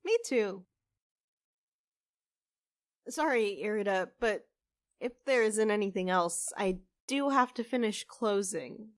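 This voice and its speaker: An actress playing a kind, apologetic half-human cafe co-owner in a fiction podcast, gentle and hesitant in manner. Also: female voice, medium pitch, expressive, takes it slowly, long pauses. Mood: amused.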